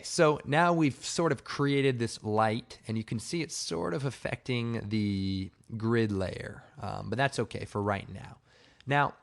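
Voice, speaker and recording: A man with a slightly hoarse, raspy voice giving a casual, informal software tutorial, explaining step by step. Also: lowish voice. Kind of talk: casual screen-recorded tutorial narration.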